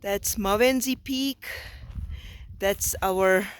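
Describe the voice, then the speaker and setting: A hoarse woman narrating her mountain climb in a slow, breathless, casual way, filming outdoors on a handheld camera. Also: mid-range voice. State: hoarse, lost voice. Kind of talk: casual travel vlog narration.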